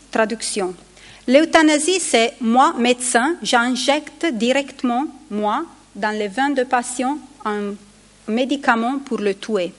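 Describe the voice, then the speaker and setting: A woman in her forties, a palliative care doctor with an Italian accent, giving a conference talk at a podium microphone, hesitating as she searches for words in her second language. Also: high-pitched. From Italy. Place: large conference hall. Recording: long hall reverb.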